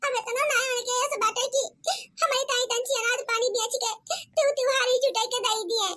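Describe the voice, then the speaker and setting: A woman's voice: extremely high pitch, pushed and bright. A woman voicing a meek, long-suffering village daughter-in-law in an Awadhi accent, plaintive, for a cartoon comedy.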